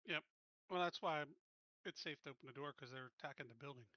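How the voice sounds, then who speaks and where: A man's voice: on the high side, fast talker, pushed and bright, dry recording. A man talking casually as a tabletop game player, discussing his tactical moves over muffled audio. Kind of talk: casual tactical chat during game play.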